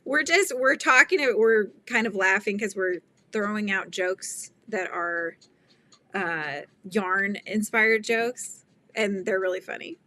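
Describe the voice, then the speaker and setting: A woman's voice: mid-range voice, slow, sharp tone. A middle-aged woman hosting a casual crochet livestream, chatting and laughing as she reads viewer comments. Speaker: livestream host. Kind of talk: casual chat while crocheting.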